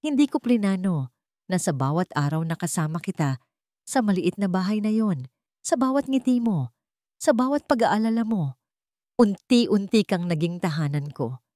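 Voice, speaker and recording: A woman's voice: medium pitch, lively intonation, normal speed, warm tone, dry recording, studio quality, lightly acted. A woman storyteller voicing a grave, wounded young husband who is a wealthy CEO in a dramatic story. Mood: bitter, tender.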